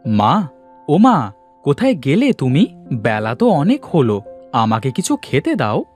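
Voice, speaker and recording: Animated, obliging village lad acted by a man. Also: medium pitch, a little slow, soft background music. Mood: calling out. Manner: obliging, expressive. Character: polite, helpful, hardworking village young man.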